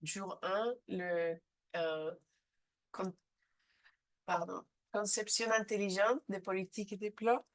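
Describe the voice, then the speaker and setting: A woman with a head cold leads a live online training session, speaking haltingly with frequent filler sounds. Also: medium pitch, fairly even intonation. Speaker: seminar trainer.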